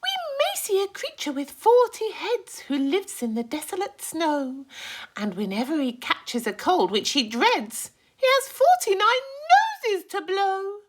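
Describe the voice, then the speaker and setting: Boisterous male centipede for a children's tale, performed by a woman: high, very animated, shouty, relishing every rhyme. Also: unhurried, heavily acted. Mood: gleeful reciting.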